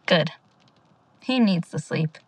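A woman's voice: lowish voice, lively intonation, takes it slowly, breathy edge, no echo, exaggerated voice. A woman acting in an audio drama as a stubborn, determined younger sister, earnest and defiant. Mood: resigned, calm.

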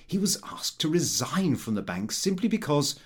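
Husky male narrator with very animated delivery. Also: a little high, normal speed. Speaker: audiobook narrator. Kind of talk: scripted first-person comic diary narration.

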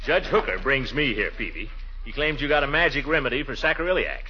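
An actor playing a pompous middle-aged man, blustering, excitable and evasive, in a 1940s radio sitcom. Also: medium pitch, fairly quick, slightly rough. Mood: blustery. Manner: blustering, indignant, chuckling. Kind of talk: 1940s radio comedy acting. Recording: dull 1940s radio recording.

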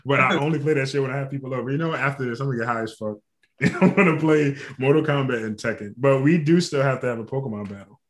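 A man's voice: mid-range voice, quick pace. A man hosting a casual podcast, swearing freely and laughing often. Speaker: podcast host. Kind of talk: casual chat.

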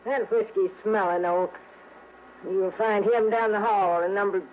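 An actress playing a gruff, nosy, fussy American rooming-house manager in a 1950s radio drama, brusque and folksy in her complaints. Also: medium pitch, fairly even intonation, unhurried, close to an everyday voice. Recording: muffled, noisy old radio recording.

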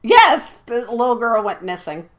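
A woman's voice: fairly high pitch, sing-song, a little slow, a bit bright. Teasing, giggly woman podcast co-host. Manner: teasing, giggly, plays friendly jokes. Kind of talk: casual chat about movies and shows.

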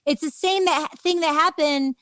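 A woman's voice: high-pitched, normal speed, fairly bright tone, no room sound. A woman hosting a conversational interview, speaking casually and emphatically as she addresses guest and audience directly. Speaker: interview host.

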